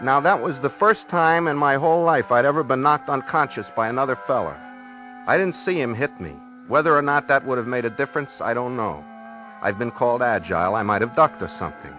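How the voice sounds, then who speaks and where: A man's voice: medium pitch, expressive, brisk, faint music behind, lightly acted. An actor playing a tense, defiant man held at gunpoint, who also narrates in terse slang, in 1950s radio thriller style. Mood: wry, recounting. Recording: dull, noisy 1950s radio recording.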